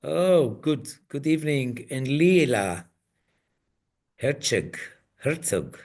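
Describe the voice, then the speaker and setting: A man leading an online coaching webinar, speaking in slow, halting phrases. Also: medium pitch, very animated, a little mellow. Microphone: video call.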